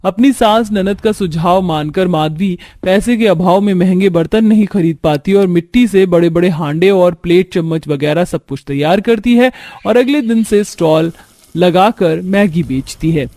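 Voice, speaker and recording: A man's voice: high voice, moderate pace, mellow, no echo, studio quality. A man reading scripted narration for a moral story, in the role of storyteller.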